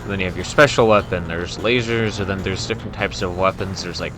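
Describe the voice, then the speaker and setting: Laid back gamer guy, lowish voice, rambling commentary over loud game music. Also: steady tone, quick pace. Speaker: gaming video host. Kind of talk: casual unscripted gameplay commentary.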